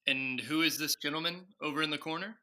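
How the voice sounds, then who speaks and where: A stately but self-conscious president as an actor plays him in a radio comedy sketch. The voice is very bright and forceful, with formal, halting phrasing. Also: medium pitch.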